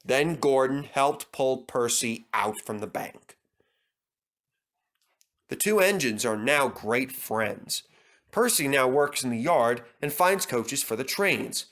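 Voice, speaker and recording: A man reading a children's story as scripted narration, expressive and dramatic. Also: mid-range voice, slow.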